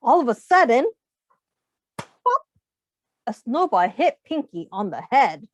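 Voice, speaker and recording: A woman reading a picture book aloud to young children in an expressive, teacherly way. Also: a little high, takes it slowly. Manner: expressive, asks the listeners questions. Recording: muffled, echoey room sound.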